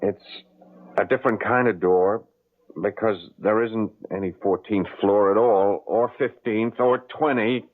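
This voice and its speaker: A man plays a young husband in a radio thriller, earnest and protective. His fairly low voice stammers and hesitates as he tries to reassure his wife. The sound is thin and boxed-in, like an old radio broadcast. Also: steady tone, measured pace, lightly acted. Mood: uneasy, hesitant. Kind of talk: radio suspense drama acting. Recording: muffled, narrow-band old radio broadcast.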